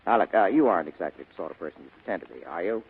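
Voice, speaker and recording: An actor playing a tough, wry, unflappable private detective in clipped, slangy, sardonic 1940s radio style. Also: male voice, low-pitched, lively intonation, rapid-fire, breathy edge, exaggerated voice. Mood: blunt, knowing. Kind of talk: 1940s hard-boiled radio acting. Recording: muffled vintage radio drama recording, phone-like band.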